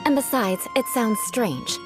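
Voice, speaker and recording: A woman's voice: a little high, expressive, unhurried, over music. A woman playing a proud young noblewoman knight in a video game, speaking in a formal, haughty, theatrical manner. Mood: proud, instructing. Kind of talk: animated game voice acting.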